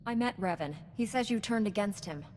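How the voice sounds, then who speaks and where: A young female Jedi knight voiced by an actress speaks at a medium pitch with earnest resolve. Her delivery is formal and composed, as in a dramatic video game cutscene, and carries long hall reverb. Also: expressive, brisk, a little mellow, a little hiss or hum, close to an everyday voice. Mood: calm, probing.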